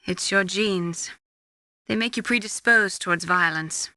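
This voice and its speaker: A woman's voice: fairly low pitch, takes it slowly, sharp tone, dry recording, poor audio. An actress playing an earnest female scientist in a video game, questioning and probing in a dramatic style. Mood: disapproving.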